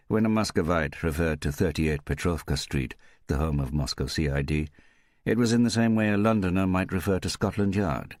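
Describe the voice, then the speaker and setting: A man reading a novel as an audiobook narrator in a measured storytelling manner. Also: extremely low pitch, a little mellow, no echo, clean studio sound.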